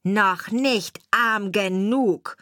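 A woman's voice: mid-range voice, pushed and bright, no echo, clean studio sound, voice pushed up. A woman voicing a small, bold, vengeful sparrow in a fairy tale, threatening and taunting. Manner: threatening, taunting, drawn-out refrain.

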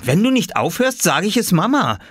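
Storybook big brother, small boy, played by a man: high, animated, bossy, dead serious about his games. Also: brisk, no echo, studio quality, full character voice. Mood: indignant. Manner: commanding, self-important. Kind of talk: children's storybook character voice.

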